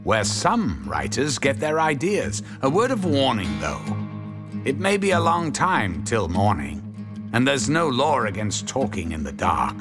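An actor playing a knowing, sardonic horror storyteller, giving theatrical narration for a radio anthology. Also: male voice, medium pitch, huge pitch swings, unhurried. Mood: ominous, knowing. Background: guitar music.

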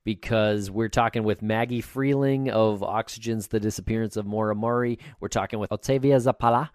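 A man's voice: fairly low pitch, steady tone, a little mellow, clear, resonant tone, no room sound, clean studio sound. A man co-hosting a podcast, chatting casually at a convention booth and laughing often. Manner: laughs often, quick interjections. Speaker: podcast co-host. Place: busy convention floor booth.

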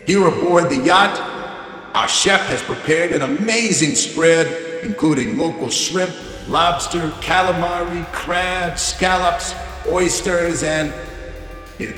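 A bombastic, foul-mouthed corporate boss, a little higher-voiced than most men, bragging and brushing people off in an audio drama. His speech rings out in a roomy hall with long reverb and faint music underneath. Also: takes it slowly, fairly bright tone. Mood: boastful. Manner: bombastic, profane, dismissive. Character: arrogant, crude greedy male corporate CEO. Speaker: CEO giving holiday address. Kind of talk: audio drama comedy monologue.

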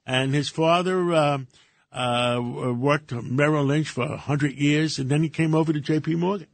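An older man born in Greece, speaking English as his second language, talks through a radio segment in halting bursts. He stops and restarts often, his voice sitting a bit high. The sound is dry and close. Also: steady tone, no room sound. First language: Greek. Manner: halting, many fillers and restarts. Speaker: radio show co-host. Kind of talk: casual radio talk.

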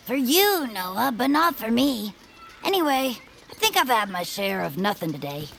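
Female as laid-back adventurer-inventor: heavy gravel in the voice, lively intonation, audio comedy. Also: on the high side, normal speed, slight background noise, heavily acted. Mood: unimpressed. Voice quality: very creaky, gravelly. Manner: plainspoken, easygoing. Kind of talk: audio comedy drama acting.

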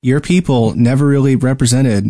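A man's voice: medium pitch, fairly even intonation, normal speed, dark tone, no echo, clean studio sound. A man co-hosting a gaming podcast in casual chat, talking hesitantly and often repeating words mid-sentence. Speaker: podcast co-host.

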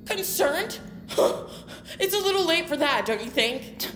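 A woman's voice: sits high, lively intonation, measured pace, fairly bright tone, roomy sound, a little hiss or hum. A woman playing a bitter, argumentative girl with a mocking, indignant manner in an audio drama. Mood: sarcastic indignation.

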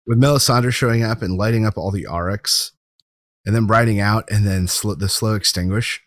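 A man's voice: on the low side, normal speed, no echo, clean studio sound. A man co-hosting a podcast, chatting casually and enthusiastically about a TV show. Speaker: podcast co-host.

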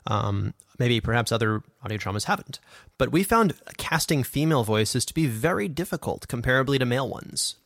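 A man with a medium-pitched voice co-hosts a casual podcast. He gives informal advice, thinking aloud as he goes. The recording is very dry, free of room sound. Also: expressive, a little fast, creaky, a bit bright, no echo. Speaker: podcast co-host and audio drama producer. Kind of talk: casual conversational advice with a co-host.

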